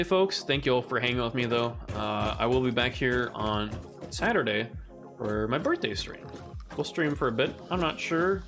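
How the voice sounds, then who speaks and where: A man streaming a game from home, chatting casually with viewers as his voice grows raspy and rough. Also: mid-range voice. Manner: laid-back, swears casually, talks to chat. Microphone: condenser studio mic. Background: game music.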